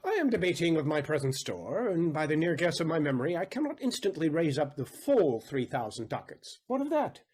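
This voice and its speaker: An actor playing an aggrieved, wily moneylender in a Shakespearean audio drama, deliberate, rhetorical and sardonic in manner. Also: male voice, fairly high pitch, big pitch swings, darkish tone, breathy edge. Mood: brisk, scheming.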